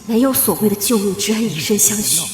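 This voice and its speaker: A woman's voice: medium pitch, animated, fast talker, lightly acted. A woman playing a proud, wronged young noblewoman, narrating her own bitter story in a dramatic motion-comic drama. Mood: bitter, sorrowful. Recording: roomy reverb under loud music.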